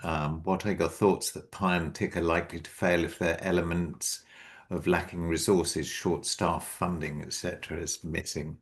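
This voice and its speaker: A man with a very low, deep voice hosts an online book launch over a video call. He talks in a halting, thinking-aloud way, full of ums and restarted phrases. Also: flat delivery. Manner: hesitant, many ums and restarts. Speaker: book co-author and event host.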